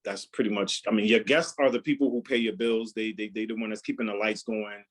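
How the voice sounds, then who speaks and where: A young adult man chef answering cooking questions in a casual live demo, with a loud, carrying voice, often repeating words and using fillers. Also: on the low side, fairly even intonation, a little fast.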